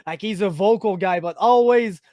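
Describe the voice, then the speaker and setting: A man's voice: sits high, moderate pace, darkish tone, dry recording, clean studio sound. A man from Quebec with a Quebec French accent, hosting a casual interview, chatty and friendly. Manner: friendly, chatty, says "my man", rambling questions. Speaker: interviewer, host.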